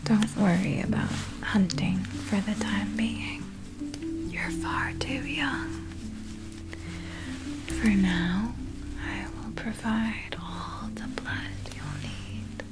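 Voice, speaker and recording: Ancient female vampire, played by a woman, whispered, extremely slow, seductive and possessive, ASMR roleplay, music behind. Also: medium pitch. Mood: instructive, soothing. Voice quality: breathy whisper. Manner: slow, deliberate, soothing, intimate, controlling.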